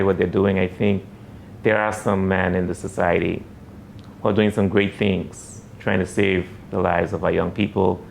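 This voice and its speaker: A man hosting an interview show, speaking hesitantly with many pauses and fillers. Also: deep voice, gravelly, slight background noise. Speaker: show host.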